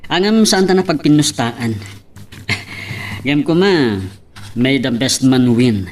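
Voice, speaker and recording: A man's voice: medium pitch, animated, slow pace, far from the mic. A man with an Ilocano accent playing a young buddy and friendly love rival in an audio drama. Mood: teasing. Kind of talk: Ilocano radio-style love drama acting.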